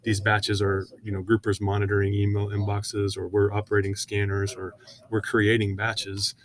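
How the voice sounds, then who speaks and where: Low-voiced man giving a software demo. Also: monotone, moderate pace. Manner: conversational, hesitant, filler-heavy walkthrough. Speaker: software product presenter. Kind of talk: informal screen-share software demo.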